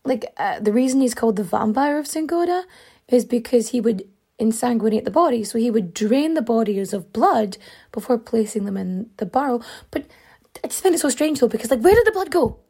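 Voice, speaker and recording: A woman's voice: a little high, expressive, a little fast, mellow, husky. A woman hosting a podcast, telling a true-crime story in a rambling, chatty way with many fillers and asides while she is losing her voice. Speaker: podcast host.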